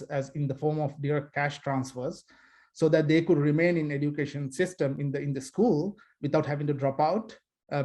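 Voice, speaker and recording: A middle-aged man from Sri Lanka speaking on a panel over a video call, measured, with many fillers and restarts. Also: on the high side, darkish tone. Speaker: nonprofit founder, panel speaker. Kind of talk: panel discussion talk.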